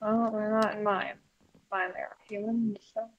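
A woman's voice: medium pitch, level tone, measured pace, dull audio, a crowd in the background, lightly acted. A woman voicing a good-hearted, reserved puppet animatronic in an improvised game roleplay, with dry, terse, unimpressed delivery. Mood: flat, patient.

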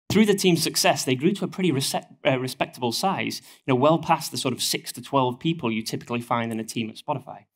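A man in his thirties with a broad northern English accent presents at a conference lectern, speaking into a headset mic. He is getting over a cold, and his delivery is steady and explanatory, scattered with ums and uhs. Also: mid-range voice. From northern England. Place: stage of a conference hall, at a lectern.

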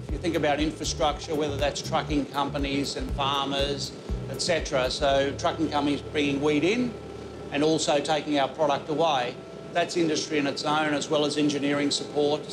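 A middle-aged Australian man lays out how his mill works in steady, explanatory answers. His voice sits a little high for a man. He is recorded on a lapel mic inside the mill's control room. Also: low-quality recording. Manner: measured, explanatory. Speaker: flour mill manager. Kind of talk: interview answers.

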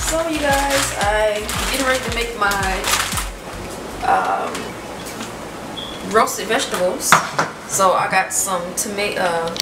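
A woman's voice: mid-range voice, heavy background noise. Young woman cooking, casual chatty tone, roomy kitchen, recorded from across the room. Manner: chatty, loose, says "you know" and "y'all" often. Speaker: home cooking vlogger. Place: home kitchen, indoors. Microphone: camera mic from across the kitchen. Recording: roomy kitchen audio from a distant camera, loud music underneath.

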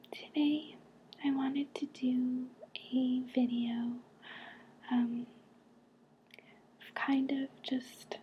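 A woman whispering softly and breathily close to the mic, guiding a relaxation session in a gentle, reassuring manner. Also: a little high, dull audio, a little hiss or hum. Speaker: ASMR host guiding relaxation.

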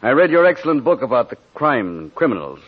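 An actor playing a genial, shrewd police inspector, hearty and flattering, in old-time radio drama style. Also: male voice, medium pitch, fast. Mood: affable, probing. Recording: dull old radio recording.

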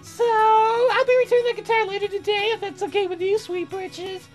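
Casting: excitable, brash young songwriter girl, piercingly high, loud and theatrical, visual novel game. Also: moderate pace, soft background music. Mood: perky, cheeky.

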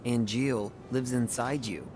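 An actor playing an earnest, upbeat young warrior hero in a video game. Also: male voice, medium pitch, steady tone, unhurried, background noise, natural voice. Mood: thoughtful. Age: young adult. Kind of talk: English video game voice acting.

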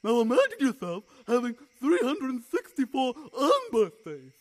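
A mad, excitable tea-party host in an audio drama. The voice is very high and husky, swooping wildly as it gushes. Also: male voice, moderate pace, full character voice. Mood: gleeful, excited. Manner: repetitive, gushing, giggly.

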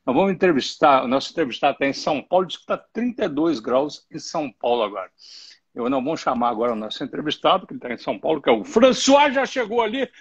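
A man's voice: fairly high pitch, animated, quick pace, slightly rough. A middle-aged man hosting a live interview from home, chatty and joking and stumbling over his words. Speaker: host. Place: home room, indoors. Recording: muffled lo-fi live stream.

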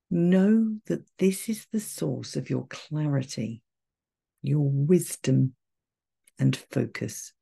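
A woman guiding a meditation in a soft, breathy, soothing voice, gentle and measured with long pauses. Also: sits low. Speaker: meditation guide. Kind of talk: guided meditation, calm and soothing.

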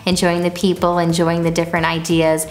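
Lively female co-host chatting on a studio sofa. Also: lowish voice, brisk. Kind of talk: casual scripted chat. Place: indoor studio set with sofa.

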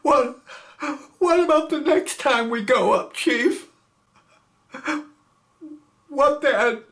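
A man's voice: sits high, unhurried, pitched above the performer's own voice. A man reading a play aloud, voicing a timid young male airman who stammers and breaks down crying. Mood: tearful.

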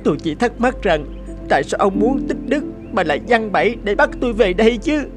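A woman voicing a small, gentle bird in an animated folk tale, with a plaintive, pleading manner. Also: mid-range voice, fairly quick, lightly acted. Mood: sorrowful, reproachful. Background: music behind the voice.

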